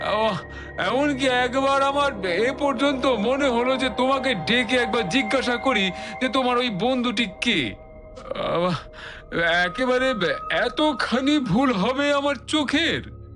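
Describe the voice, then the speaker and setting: A man's voice: very high voice, takes it slowly. An actor playing an old, chatty village uncle in an audio story, exclaiming, repeating himself and stammering over his words. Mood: astonished, insistent. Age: older. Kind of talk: Bengali audio story acting. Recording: music behind the voice.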